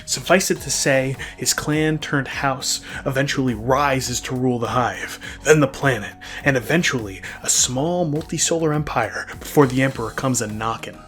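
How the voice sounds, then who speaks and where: A man's voice: mid-range voice, normal speed, hardly any pauses. Wry, emphatic male storyteller over music. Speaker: host and narrator of a fan lore video. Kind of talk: semi-scripted lore narration with casual asides. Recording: music behind the voice. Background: background music.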